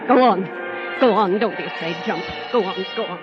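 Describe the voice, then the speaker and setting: A woman playing a cold, sinister housekeeper in a 1930s radio drama, urging insistently in a hushed, hypnotic way. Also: mid-range voice, normal speed, lightly acted. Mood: menacing coaxing. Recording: muffled old radio broadcast, music behind.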